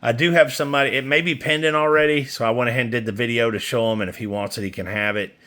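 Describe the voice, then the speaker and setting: A man's voice: mid-range voice, fairly even intonation, racing, bright, forceful tone. A man giving a casual, hands-on product demo, talking informally and in a rambling way as a seller. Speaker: seller demonstrating used radio gear. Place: indoors at a desk with radio equipment. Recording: faint background noise.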